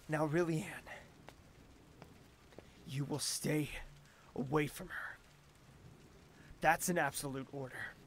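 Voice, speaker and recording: A man acting an imperious, slow-spoken royal, creaky-voiced. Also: high-pitched, sharp tone, slight background noise, exaggerated voice. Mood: cold, threatening. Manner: formal, imperious, theatrical. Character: haughty, jealous royal ruler, commanding and wounded. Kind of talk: audio drama acting.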